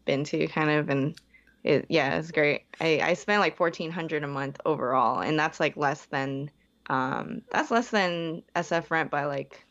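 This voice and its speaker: Chatty young woman, low muffled voice. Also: unhurried, a bit bright. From San Francisco Bay Area. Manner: chatty, heavy use of "like", frequent self-restarts. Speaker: guest. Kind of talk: casual interview.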